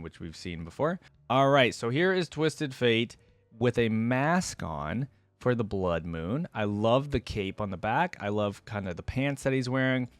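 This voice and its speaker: A man giving casual, opinionated reaction commentary in an informal way, often softening his views as he goes. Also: medium pitch, no room sound. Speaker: reaction video host.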